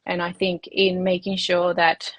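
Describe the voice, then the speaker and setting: A woman's voice: fairly low pitch, monotone, unhurried, a little hiss or hum. An Australian woman who owns a women's health practice, talking earnestly in a casual live-stream interview, with many restarts and fillers. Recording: video call, remote.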